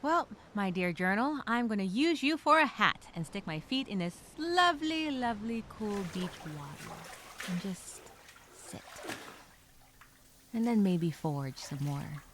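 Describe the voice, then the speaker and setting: A woman playing an anxious stranded castaway, speaking a dramatic, halting, repetitive audio journal with expressive sighs. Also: mid-range voice, a little hiss or hum. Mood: weary, musing. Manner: expressive, halting, sighing, repeats herself. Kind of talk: dramatic first-person audio journal monologue.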